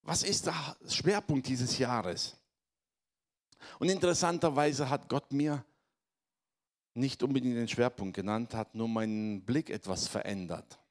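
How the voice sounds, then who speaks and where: A man preaching to his congregation, hoarse from a cold, speaking in a conversational way and often checking in with his listeners. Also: mid-range voice, measured pace. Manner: conversational, addresses congregation with tag questions. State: has a cold, voice affected. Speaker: pastor. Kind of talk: sermon.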